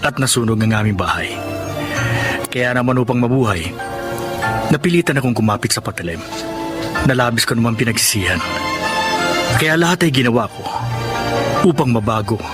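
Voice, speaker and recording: A modest, hardworking young elder brother, played by a male actor, in a Filipino radio drama. His voice sits at a medium pitch, with loud music underneath. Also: expressive, normal speed, long pauses, lightly acted. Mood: regretful, reflective.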